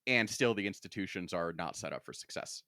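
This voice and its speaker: Male podcast co-host, lower register, loose conversational delivery, close and dry sound. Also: lively intonation, fairly quick, sharp tone, dry recording. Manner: casual, peppered with "like". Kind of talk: casual book discussion chat.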